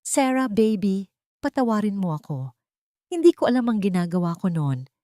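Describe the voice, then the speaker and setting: A woman's voice: mid-range voice, sing-song, normal speed, dark tone, dry recording, studio quality, natural voice. A woman voicing a manipulative husband feigning remorse in an audio drama, pleading and trembling.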